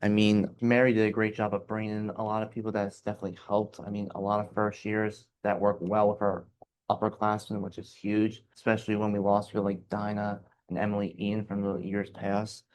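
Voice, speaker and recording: A man's voice: fairly low pitch, completely flat delivery, a little fast, a little mellow. A man co-hosting a college sports podcast who chats casually and often starts his sentences with "I mean". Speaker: podcast co-host.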